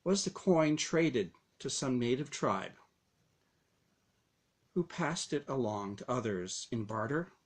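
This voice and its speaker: A man narrates a scripted first-person story at an unhurried pace. His mid-pitched voice moves widely up and down, and he shapes each phrase deliberately, with a dramatic storyteller's touch. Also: animated. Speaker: author reading own story.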